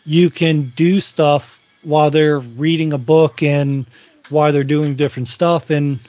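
A man's voice: a little high, fairly even intonation, a little slow, a little mellow, no room sound, dull audio. A man in his forties co-hosting a podcast, chatting casually and rambling with frequent restarts. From Virginia. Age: 40s. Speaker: podcast co-host. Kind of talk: casual chat.

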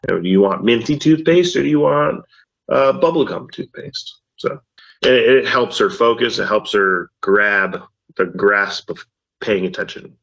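Loud middle-aged dad rambling casually, lowish voice, kinda dull lo-fi sound. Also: male voice, unhurried, vocal fry. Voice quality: loud, mildly deep voice. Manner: rambling, says "you know what I mean" often. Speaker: podcast co-host, father. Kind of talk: casual chat. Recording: dull lo-fi audio.

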